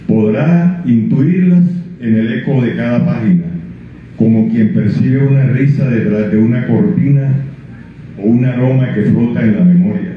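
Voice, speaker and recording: An older man speaks as an author at his book launch. His talk is mid-pitched and halting, full of restarts. The sound is very echoey and thin, like a phone line, with faint hiss. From Colombia. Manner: halting, with many restarts and fillers when speaking freely. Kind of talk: reading prologue, then answering questions. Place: book presentation event. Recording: echoey room over a tinny lo-fi line.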